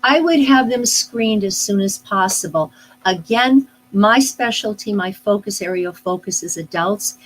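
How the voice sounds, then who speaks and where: A middle-aged woman, a speech pathologist, answering interview questions in a thoughtful, unhurried way, with many pauses and restarts. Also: medium pitch, lively intonation, a little hiss or hum, low-quality recording. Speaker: speech-language pathologist guest. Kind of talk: live online interview, explaining casually.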